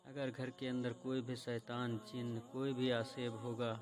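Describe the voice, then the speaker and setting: A man's voice: mid-range voice, little pitch movement, measured pace. A man presenting a religious explainer, addressing viewers directly in an earnest, preacherly way.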